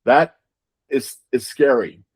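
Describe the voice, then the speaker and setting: A man's voice: mid-range voice, slow, a little mellow, husky. A man giving conversational interview answers as a game designer, emphatic, repeating words and often checking in with rhetorical questions.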